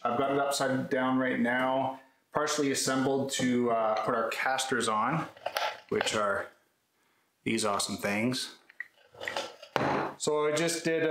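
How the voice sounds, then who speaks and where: Very echoey room, faint noise, man in his thirties, mid-pitched voice, casual how-to walkthrough, plain-spoken. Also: a bit bright. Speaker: woodworking video host. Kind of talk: casual build walkthrough, explaining to camera. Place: concrete-floored garage workshop, indoors. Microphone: camera mic a few metres away.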